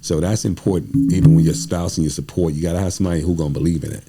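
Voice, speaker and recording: A man's voice: low-pitched, fairly even intonation, rapid-fire, dark tone, husky, soft background music. A man chatting casually as a podcast guest, telling personal stories and emphatically repeating his phrases. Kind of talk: animated casual conversation, personal storytelling.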